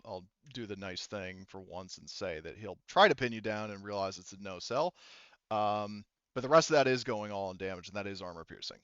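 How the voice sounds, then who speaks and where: A man running an online tabletop roleplaying game as game master, thinking aloud in a halting way over a headset mic. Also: on the low side, bright, forceful tone, smooth, dry recording, highs cut off. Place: online voice session.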